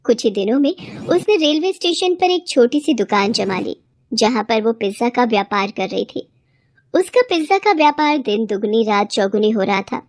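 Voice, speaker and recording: A woman's voice: fairly high pitch, expressive, a little mellow, no room sound, clean studio sound. A woman reading a scripted moral story for children as a storyteller.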